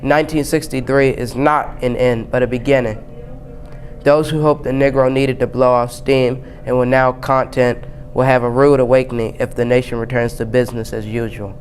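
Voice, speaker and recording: Flat-toned male student reading a speech. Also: medium pitch, moderate pace, soft background music. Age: young teen, 7th grade student. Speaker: student reader. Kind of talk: reading a famous speech aloud.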